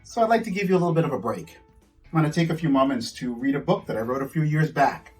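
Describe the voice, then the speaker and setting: A man's voice: a little high, lively intonation, fast talker, far from the mic, soft background music. A man, an author, reading his children's storybook aloud to young listeners.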